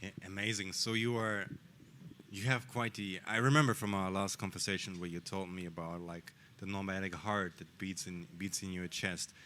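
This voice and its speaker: A man's voice: deep voice, fairly even intonation, normal speed, sharp tone, slight background noise. A man hosting a podcast, asking curious, long winding questions. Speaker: podcast host. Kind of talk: interview questions.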